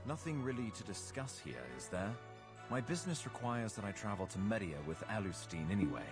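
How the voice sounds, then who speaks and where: An actor playing a decisive, businesslike travelling companion in a video game, formal and assured. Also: male voice, lowish voice, big pitch swings, a little fast. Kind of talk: video game character acting. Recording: music behind the voice.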